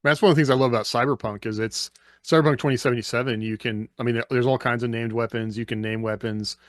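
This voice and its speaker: A man's voice: mid-range voice, steady tone, fast, slight background noise. A man chatting informally as a panelist on a podcast, in a loose conversational style. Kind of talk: casual chat.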